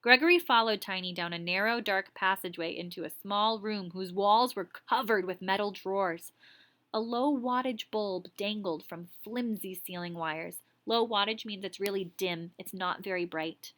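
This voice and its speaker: A woman teacher reading a story aloud expressively, pausing to explain words in a casual way. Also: medium pitch, fairly bright tone.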